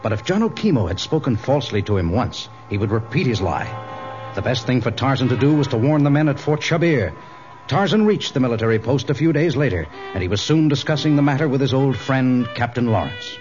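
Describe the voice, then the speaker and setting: An actor as the narrator of a radio adventure serial, telling the story in a formal, dramatic way. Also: male voice, medium pitch, expressive, a little fast, a little mellow, close to an everyday voice. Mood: dramatic, measured. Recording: dull old radio recording, music behind.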